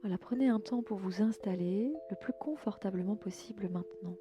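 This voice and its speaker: Female relaxation guide, medium pitch, gentle inviting delivery with soft endings, music clearly underneath. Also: a little fast. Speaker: host and yoga nidra guide. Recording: music bed behind the voice.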